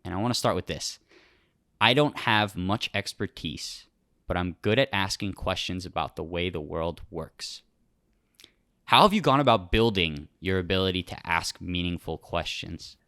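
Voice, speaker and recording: Young man as interviewer. Deep voice, dry sound, conversational questioning. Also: normal speed, fairly bright tone, no room sound. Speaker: interviewer, podcast host.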